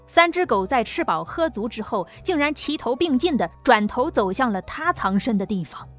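A woman narrating an audiobook in a scripted storytelling style, reading suspenseful fiction. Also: a little high. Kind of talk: scripted narration of an apocalyptic sci-fi novel. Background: background music.

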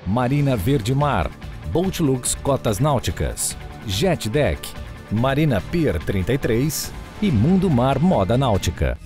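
A man's voice: medium pitch, big pitch swings, slow pace. A man with a Brazilian accent reading a scripted sponsor announcement. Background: music.

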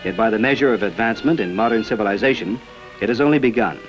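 A man reading scripted narration for an old informational film in a measured, formal manner. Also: on the low side, muffled recording. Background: background music.

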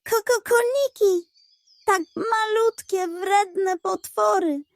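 A woman's voice: extremely high pitch, slow pace, gravelly, dry recording, pitched above the performer's own voice, full character voice. A woman voicing a scheming, hot-tempered, greedy male pug in a children's story, dramatic and indignant. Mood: dramatic alarm.

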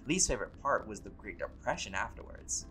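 A man playing a laid-back, centuries-old vampire scholar in an audio roleplay, talking in a relaxed, conversational and wryly playful way. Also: lowish voice, brisk, noisy background. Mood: musing, wry. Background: music.

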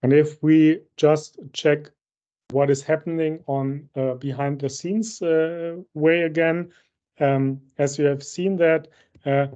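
Man, bright voice, dull call audio. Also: a little high, steady tone, slow. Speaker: solution architect presenting a software demo. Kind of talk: technical demo presentation. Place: indoor room, on a video call. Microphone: video-call microphone.

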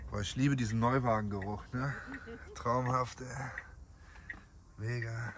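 A man in his forties with a cold, talking casually and excitably into a phone camera held at arm's length. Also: mid-range voice, measured pace, noisy background. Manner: chatty, excitable, swears casually. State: has a cold. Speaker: vlogger. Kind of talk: casual vlog. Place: living room, then car park and car interior.

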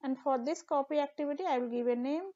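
Higher-voiced woman explaining software steps. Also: fast, dull audio. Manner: steady step-by-step explaining with fillers. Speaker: tutorial instructor. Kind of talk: screen-recorded software tutorial.

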